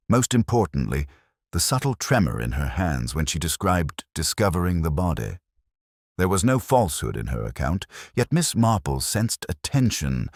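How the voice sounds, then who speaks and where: A male audiobook narrator with an extremely low voice tells a mystery in a measured, formal way. His pitch moves in lively arcs, and the sound is dry and close, without room echo. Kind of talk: scripted mystery story narration.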